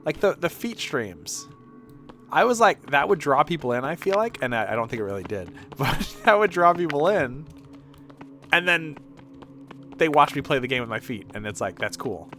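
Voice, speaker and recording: Animated, high-pitched man chatting on stream. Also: normal speed, faint music behind. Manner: rambling, profane. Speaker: video game streamer. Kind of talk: casual livestream chat over gameplay.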